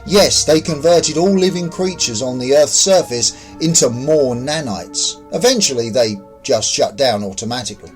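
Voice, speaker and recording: A man voicing a calm, courteous alien visitor with a measured, explanatory manner in a science fiction story. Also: mid-range voice, faint music behind, natural voice. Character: calm otherworldly visitor of indeterminate sex, puzzled, courteous.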